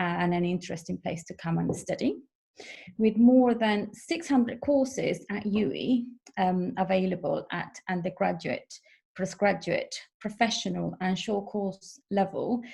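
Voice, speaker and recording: University webinar presenter, woman from Peru, dark gravelly tone, measured. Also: mid-range voice. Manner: measured, frequent um fillers. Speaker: international officer. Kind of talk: online information session presentation. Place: home or office in the evening. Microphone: video call.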